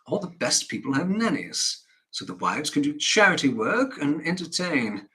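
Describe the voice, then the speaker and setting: A man's voice: a little high, big pitch swings, normal speed, sharp tone. A man rehearsing stage dialogue as a stern, dismissive upper-class father, pompous and brusque.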